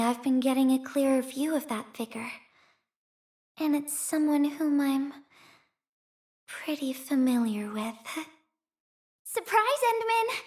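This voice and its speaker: Very high-pitched, dreamy young courier girl. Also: exaggerated voice. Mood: excited, grateful. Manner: playful, airy, sweetly expressive. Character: cheerful, romantic, dreamy young female courier. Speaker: video game voice actor. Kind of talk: game character voice lines.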